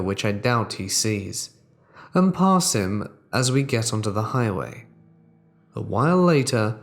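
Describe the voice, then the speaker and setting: A man reading true horror stories aloud as the host, in a measured, deliberate storytelling manner that drops quieter at tense moments. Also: mid-range voice. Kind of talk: scripted narration of reader-submitted true horror stories.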